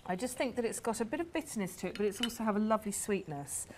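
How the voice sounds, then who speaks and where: A middle-aged woman with a mid-range voice cooks on camera, warm and enthusiastic as she talks through each step. She speaks on a lapel mic in a garden centre greenhouse, with a faint hiss behind her. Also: medium pitch, lively intonation, very fast. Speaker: cook, guest chef. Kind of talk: cooking demonstration.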